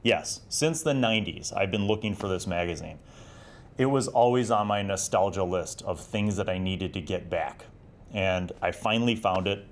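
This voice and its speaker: A man's voice: low-pitched, moderate pace. An American man in his forties hosting a casual, nostalgic review vlog, speaking in a reflective, enthusiastic way. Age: 40s. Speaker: host of a retro gaming video series.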